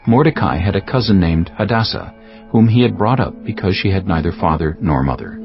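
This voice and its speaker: A man narrating a dramatized Bible reading in a formal, deliberate storytelling manner. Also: deep voice, lively intonation. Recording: muffled, dull audio under music. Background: prominent background music.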